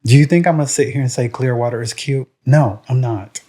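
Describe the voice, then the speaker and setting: Man, from Puerto Rico, podcast co-host, casual chat, rambling, lots of fillers, blunt, mid pitch. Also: fairly quick, dark tone. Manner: rambling, full of "um" and "like"; frank and opinionated.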